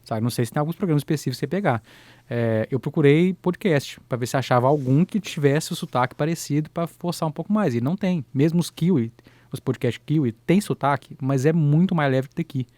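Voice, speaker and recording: A man's voice: a little high, a little fast, a little mellow, no room sound. A man hosting a radio show who talks hesitantly and often repeats words as he thinks aloud. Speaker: radio host. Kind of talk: casual chat. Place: radio studio.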